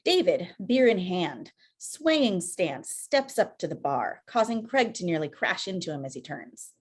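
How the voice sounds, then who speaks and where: A woman narrates a screenplay's stage directions. Her pitch is a little low, and the delivery is scripted reading over a video call. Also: sing-song, unhurried, breathy edge. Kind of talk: scripted narration at a table read.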